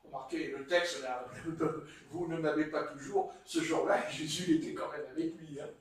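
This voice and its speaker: A man with a Marseille French accent preaching a sermon in a church hall, telling stories in a playful, animated way. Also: on the high side, normal speed. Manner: animated, playful storytelling with chuckles. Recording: echoey hall, mic far off.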